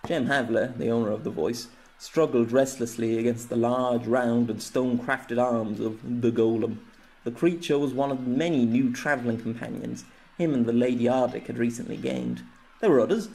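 A man narrates a scripted story in the manner of an audiobook. His voice sits at a medium pitch. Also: vocal fry, a little mellow, rough recording.

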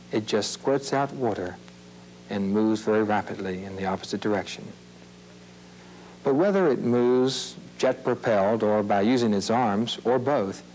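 A man narrates an old-fashioned educational nature film for young viewers. His voice sits at a medium pitch, and he explains things in plain, measured phrases. A light hum and hiss from an old tape soundtrack runs underneath. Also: darkish tone, low-quality recording. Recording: hissy, humming old videotape soundtrack.

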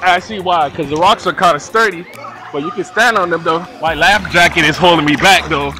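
A man's voice: a little high, fairly quick, over music. A young man vlogging a speedboat tour, chatty and laughing, filmed on an action camera held at arm's length outdoors. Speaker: travel vlogger. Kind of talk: casual vlog chat.

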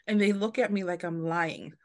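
A woman's voice: lowish voice, steady tone, normal speed. A woman from the United States, a podcast guest talking casually and at length, often restarting sentences and acting out the people she quotes. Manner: chatty, many restarts, acts out quoted voices. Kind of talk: casual interview chat.

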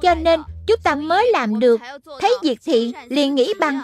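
A woman's voice: sits high, expressive, moderate pace, darkish tone. A woman voicing a small, wise spirit guardian in a children's cartoon, teaching and admonishing like an older brother. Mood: instructive. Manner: instructive, admonishing. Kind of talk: Vietnamese dubbed children's cartoon acting.

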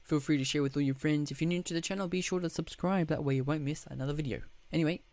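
A man with a croaky, just-woken-up voice, giving casual gameplay commentary, rambling with restarts and throat clearing. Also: on the high side, fast, a little mellow, dry recording. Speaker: gaming YouTuber.

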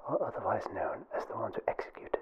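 A young man narrating a scripted ASMR information film in a soft, breathy whisper with a formal, deliberate, mock-official delivery. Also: lowish voice, lo-fi sound. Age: young adult. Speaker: ASMR video creator, film presenter.

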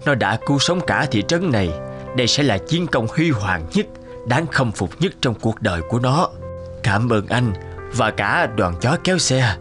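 An actor voicing a solemn, sympathetic town doctor in a cartoon, speaking in a slow, grave, consoling way. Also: male voice, mid-range voice, expressive, over music, lightly acted. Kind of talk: animated cartoon dubbing.

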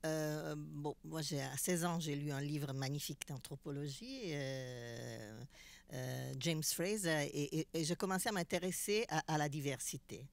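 A middle-aged woman speaking as a panelist at a festival round table, halting and repeating words, into a handheld mic. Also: low-pitched, vocal fry, no echo. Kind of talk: panel discussion. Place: festival stage with backdrop.

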